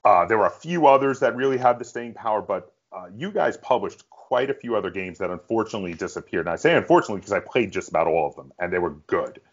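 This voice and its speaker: A middle-aged man hosts a casual, fan-style interview. His voice sits somewhat low. The recording is a little dull and lo-fi. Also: expressive, fast talker, few pauses. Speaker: interviewer, podcast host. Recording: dull lo-fi sound.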